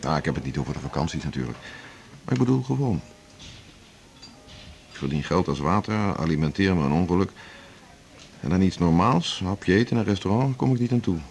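A man's voice: deep voice, animated, fairly quick, gravelly, pauses often, darkish tone. An actor playing a workaholic middle-aged male internist in a 1980s radio drama, talking in a wry, self-mocking, confiding way. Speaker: hospital internist and chief.

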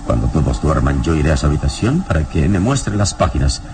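A man acting a calm, commanding adventure hero in a radio serial, speaking in a solemn, measured way. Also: rumbling low, noisy background. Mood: cautious, grave.

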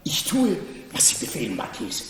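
An actor playing an anxious, fussing, deferential servant in a German radio play. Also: male voice, a little high, wildly swooping pitch, moderate pace. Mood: obedient. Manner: anxious, urgent, servile. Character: nervous, fussing, deferential manservant. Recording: distant, noisy, echoey hall.

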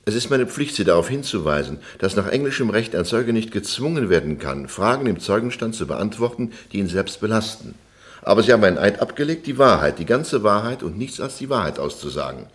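An actor playing an authoritative, orderly English judge in a German radio play, speaking formally and instructively. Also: male voice, on the low side, sing-song, brisk. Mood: solemn, instructing.

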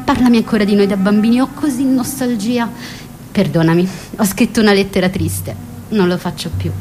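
A woman's voice: mid-range voice, a little fast, mellow, a little hiss or hum, lightly acted. A woman playing a wistful, passionate young woman in a scripted radio drama, speaking intimately and reflectively. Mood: nostalgic. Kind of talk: scripted radio drama monologue and letter reading.

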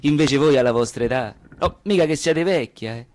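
An actor playing a brash, cheeky suitor in a comic stage scene, with a Roman accent and a colloquial, teasing manner. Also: male voice, medium pitch, a little fast, a little hiss or hum, lightly acted. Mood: cheeky flirting. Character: brash, cheeky middle-class-pretending male suitor, crude flirt. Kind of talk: theatrical comic scene acting.

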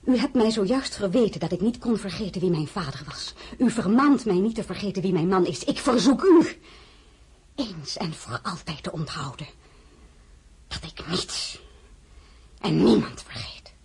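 Husky, breathy young crown princess. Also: female voice, medium pitch, animated, normal speed, a little mellow. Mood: defiant. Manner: outspoken, dignified. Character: proud, defiant young French-born crown princess. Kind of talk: dramatised radio play acting.

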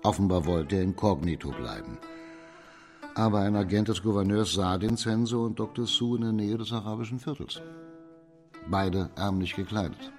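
A man playing an authoritative, shrewd judge in a radio crime drama, speaking formal in a measured, commanding way. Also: lowish voice, faint music behind, close to an everyday voice. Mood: grave, businesslike. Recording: reverberant hall.

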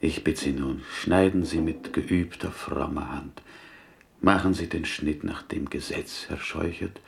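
An actor playing a devout, courteous slaughterhouse helper in a radio play, speaking ceremoniously and reverently in a gravelly voice. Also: male voice, rumbling low, steady tone, unhurried, heavily acted. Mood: solemn, pleading. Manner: reverent, ceremonious, inverted old-fashioned syntax. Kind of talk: radio play acting, formal ceremonious speech.

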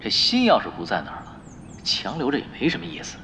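An actor playing a laid-back, sly veteran gamer in an audio drama, talking in a casual, needling, nonchalant way. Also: male voice, medium pitch, wildly swooping pitch, fast, gravelly, bright, forceful tone, a little hiss or hum, heavily acted. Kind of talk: audio drama acting, conversational. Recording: music bed under dialogue.

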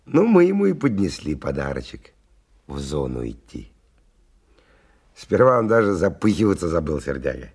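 An actor playing a hard-bitten, world-weary stalker who narrates a radio drama in a wry, colloquial, husky voice. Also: male voice, extremely low pitch, huge pitch swings, moderate pace, long pauses, darkish tone, heavily acted. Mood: wry, sardonic. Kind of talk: 1990 Russian radio drama, first-person narration.

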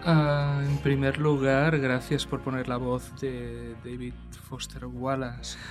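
A man with an accent from Spain discussing casually as a co-host, halting and rambling, often repeating words. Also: medium pitch, completely flat delivery, over music. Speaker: show co-host and commentator.

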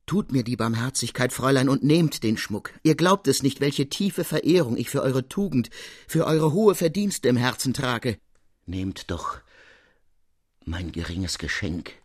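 A man's voice: medium pitch, expressive, measured pace, husky, pitched above the performer's own voice. A man voicing a tormented, devoted master goldsmith, solemn and pleading in courtly speech, in a storybook reading. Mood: frantic pleading.

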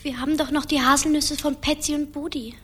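A woman's voice: sits high, fairly even intonation, a little fast, soft background music, lightly acted. An actress playing a little girl, a naive and plucky younger sister, candid and childlike, in a radio play. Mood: worried. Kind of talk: German radio play acting.

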